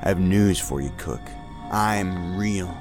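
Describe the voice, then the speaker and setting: Defiant low-voiced agent. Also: male voice, slow pace, over music. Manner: blunt, defiant. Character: tough, defiant male federal agent. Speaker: voice actor. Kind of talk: audio drama acting.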